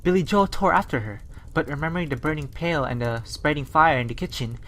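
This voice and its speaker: A narrator and newscaster reading a 1930s-style radio story and news report in a steady, scripted way. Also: mid-range voice, a little fast. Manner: steady, read-from-script delivery.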